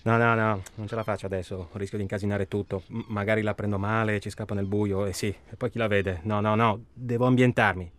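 An actor playing a self-absorbed, stalling hunter in a naturalistic radio drama, rambling and irritable. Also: male voice, lowish voice, little pitch movement, fairly quick, few pauses, lightly acted. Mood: hesitant, self-justifying. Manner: hesitant, rambling, irritable, colloquial. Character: self-absorbed, stalling male tourist hunting human prey.

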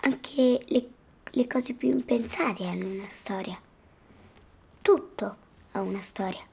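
A woman talking slowly and haltingly, pondering as she goes. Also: fairly high pitch, gravelly, mellow, husky.

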